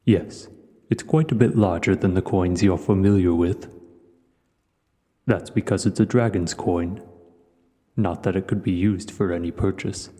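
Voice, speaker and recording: A man voicing a proud, cultured male dragon who speaks in a measured, formal way in an audio roleplay. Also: low voice, mellow. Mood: curious, knowing. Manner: measured, formal, haughty storyteller. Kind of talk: audio roleplay, scripted monologue to the listener. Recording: long cavernous reverb.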